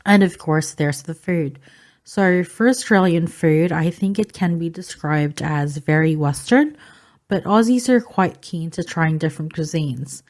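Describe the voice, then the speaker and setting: A low-voiced woman from the Philippines, Filipino her first language, speaking in a calm, informative vlog manner. Her tone is mellow and warm, and she pauses on little "um" fillers as she explains. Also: faint music behind. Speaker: vlogger. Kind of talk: explaining culture to camera.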